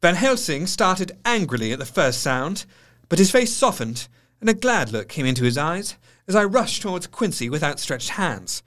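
An actor playing a grave, shaken Victorian doctor, narrating a diary in measured, literary style for an audio drama. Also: male voice, fairly high pitch, sing-song, fairly bright tone. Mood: earnest. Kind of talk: dramatic scripted first-person narration.

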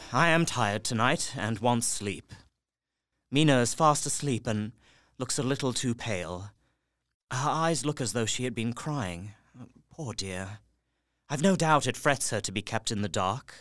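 Expressive, earnest Victorian husband. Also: male voice, medium pitch, dry recording. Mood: weary, tender. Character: earnest young Victorian husband, weary, keeping a journal. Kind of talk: audio drama journal monologue.

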